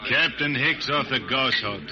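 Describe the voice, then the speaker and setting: A man's voice: medium pitch, measured pace, natural voice. A male actor playing a folksy, hard-drinking spaceman singer with a rustic drawl and wry, colloquial delivery in 1950s radio style. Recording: muffled vintage radio recording, music behind.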